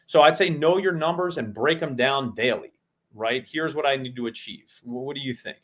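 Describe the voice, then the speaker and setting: A man's voice: mid-range voice, normal speed, fairly bright tone. A man co-hosting a casual business podcast who explains things methodically, step by step. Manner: methodical, breaks goals down step by step. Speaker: podcast co-host.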